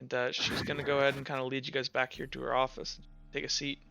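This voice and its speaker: Bright-voiced man narrating a tabletop game. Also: medium pitch, monotone, vocal fry. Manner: halting, casual, conversational. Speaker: game master. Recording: slightly dull, lo-fi audio. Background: music.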